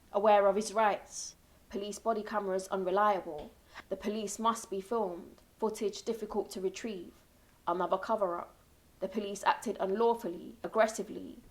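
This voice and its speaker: A woman performing spoken word poetry in emphatic, declarative short phrases with repeated refrains. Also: medium pitch.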